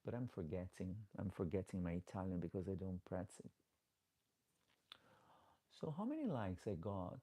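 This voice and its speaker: Low, gravelly man with Portuguese accent. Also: mellow. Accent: Portuguese-speaking. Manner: chatty, reads comments aloud, pleads for likes. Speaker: drag queen livestreamer. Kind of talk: casual live chat. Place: home, late at night.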